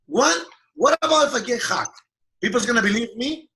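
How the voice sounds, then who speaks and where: Peruvian guy with a spanish accent, high bright voice, broken english, telling his founder story all animated. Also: moderate pace. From Peru. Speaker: company founder being interviewed. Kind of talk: casual interview answers.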